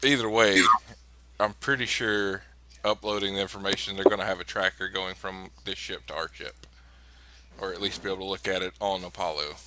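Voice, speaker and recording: A man's voice: deep voice, steady tone, a little fast, sharp tone, a little hiss or hum. A man playing a tabletop role-playing game, laying out plans in a matter-of-fact way. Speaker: player. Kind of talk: casual game chat.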